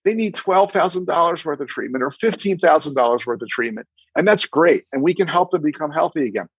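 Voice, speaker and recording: Middle aged guy podcast guest, highish voice that swings around a lot, emphatic, acts out little conversations, bit lofi. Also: expressive, fairly quick, slightly rough, no echo, muffled recording. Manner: emphatic, acts out imagined dialogue. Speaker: podcast guest, dentist and practice coach. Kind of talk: animated conversational advice with anecdotes.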